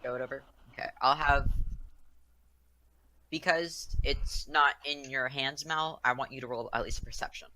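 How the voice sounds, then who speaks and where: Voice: mid-range voice, steady tone, moderate pace, sharp tone, low-quality recording. A game master narrating a tabletop roleplaying game in an informal way, addressing the players as their characters.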